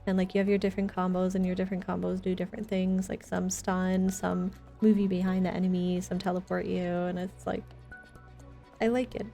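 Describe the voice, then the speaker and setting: A woman's voice: lowish voice, level tone, brisk, vocal fry, dark tone, soft background music. A woman chatting casually as she streams a video game, with playful, teasing banter. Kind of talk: casual livestream gaming chat.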